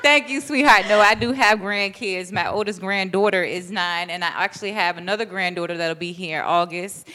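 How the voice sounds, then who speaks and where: A middle-aged grandmother, guest on a radio talk show, telling stories in a lively, preachy way. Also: mid-range voice, normal speed, few pauses. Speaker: guest co-host. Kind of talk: casual radio chat.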